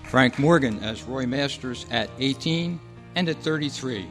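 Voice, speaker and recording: A man announcing in old-time radio style, reading scripted credits with formal, deliberate enunciation. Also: mid-range voice. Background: music.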